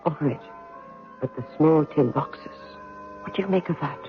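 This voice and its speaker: An actress playing a devoted, resourceful general's wife in an old-time radio drama, with an earnest, measured manner. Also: female voice, sits low, natural voice. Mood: careful, puzzled. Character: devoted, resourceful French general's wife, anxious but practical. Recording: tinny, band-limited old radio broadcast, music.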